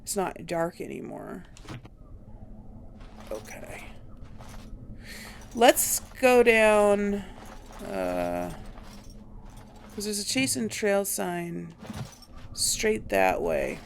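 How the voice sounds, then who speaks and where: A woman's voice: lowish voice, huge pitch swings, very slow, vocal fry, long pauses, a little hiss or hum. A woman doing casual video game commentary, musing aloud and questioning herself as she plays. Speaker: let's play host.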